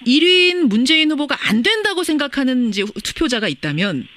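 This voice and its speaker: A man hosting a radio interview, asking brisk, probing questions. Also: very high voice, big pitch swings, pushed and bright. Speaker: radio host.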